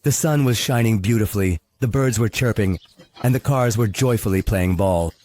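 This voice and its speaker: A man narrating a scripted story for young children, expressive and full of questions to the listener. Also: fairly low pitch, normal speed. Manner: expressive, asks the viewer questions. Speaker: narrator of a children's toy video. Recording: music behind the voice. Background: music.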